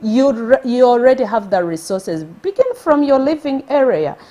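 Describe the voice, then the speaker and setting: A woman's voice: fairly high pitch, big pitch swings, normal speed, warm tone. A woman from Uganda with a Ugandan accent, hosting a motivational live stream and speaking with energy, exclaiming and repeating her points for emphasis.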